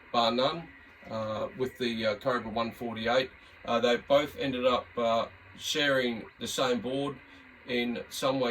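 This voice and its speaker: A man's voice: medium pitch, a bit bright, a little hiss or hum. An Australian man giving a casual, unscripted demonstration as a radio hobbyist, rambling and hesitant in a laid-back way. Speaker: radio hobbyist presenting a video. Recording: distant mic, slightly muddy room sound.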